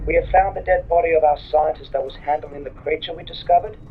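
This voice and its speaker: A man's voice: a little high, quick pace. A man playing an alarmed spaceship crew officer calling urgently through a radio intercom in an audio drama. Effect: radio intercom filter. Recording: muffled radio transmission sound.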